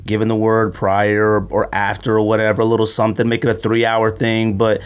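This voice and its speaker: A man's voice: lowish voice, completely flat delivery, a little fast, clean tone. A man hosting a podcast in casual, slangy, conversational talk. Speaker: podcast host. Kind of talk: casual sneaker podcast chat.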